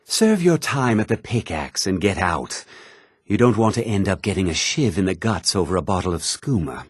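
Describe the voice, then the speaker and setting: Casting: blunt, haughty fantasy game man; raspy low voice, dry close recording. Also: sing-song, moderate pace, dry recording, exaggerated voice. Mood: gruff, blunt. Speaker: video game voice actor. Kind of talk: fantasy game character dialogue.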